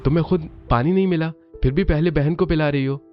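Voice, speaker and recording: A man's voice: on the high side, a little fast, lo-fi sound, soft background music, pitched above the performer's own voice, lightly acted. A man voicing a caring, earnest rich young man in a cartoon story. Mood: concerned, gentle.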